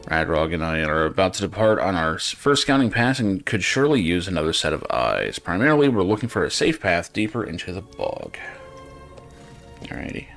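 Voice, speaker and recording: Low voiced guy playing a game, dry muttered asides, pitch moves around a lot, faint music behind. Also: sing-song, fairly quick, a bit bright. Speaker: game streamer. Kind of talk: casual gameplay commentary, reading quest text aloud.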